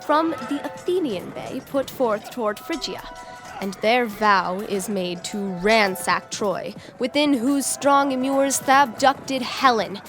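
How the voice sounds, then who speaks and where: A wry, theatrical herald of war played by a woman sets out Shakespearean verse for an audio drama. The voice is on the high side and very unhurried, each phrase placed with care. Loud music plays underneath. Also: animated. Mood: grave, portentous. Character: armed prologue narrator, wry herald of war.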